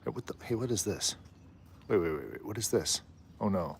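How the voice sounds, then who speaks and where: A man with a low voice hosting a live stream outdoors on a rooftop, chatting in a rambling, easygoing way. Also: expressive, fairly quick, gravelly, a little hiss or hum. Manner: rambling, easygoing, often trails off and laughs. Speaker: livestream host. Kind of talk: casual live commentary.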